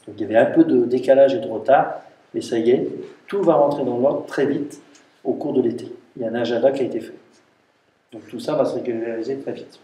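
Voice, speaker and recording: Guy, mayor briefing the council, mellow voice, far from the mic in an echoey room. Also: mid-range voice, a little fast. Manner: explanatory, emphatic, repeats himself. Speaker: mayor chairing a municipal council meeting. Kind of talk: formal council briefing, spoken off the cuff. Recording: roomy, distant mic, faint noise and clicks.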